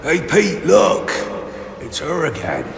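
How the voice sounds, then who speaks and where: An actor voicing a sneering, teasing undead pirate crewman in a video game, gravelly and drawling. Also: on the high side, huge pitch swings, slow, music in the background, full character voice. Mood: mocking. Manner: mocking, drawling. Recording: echoey reverb, noisy ambience.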